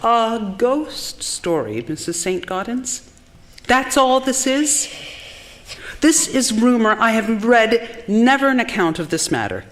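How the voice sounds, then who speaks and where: Haughty society wife, skeptical, animated pitch, pointed questions, theatrical period drama. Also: female voice, takes it slowly.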